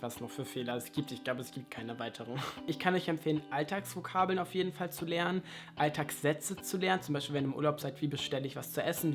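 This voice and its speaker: Chatty young man, higher voice. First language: German. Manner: chatty, asks rhetorical questions, self-mocking asides. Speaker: language vlogger. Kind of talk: casual advice vlog.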